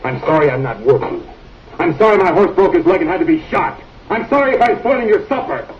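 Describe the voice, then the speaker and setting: An actor playing a proud, wrongly accused drifter with a Spanish accent, speaking formally and indignantly on muffled old film audio. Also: male voice, high-pitched, very animated, brisk, distant mic.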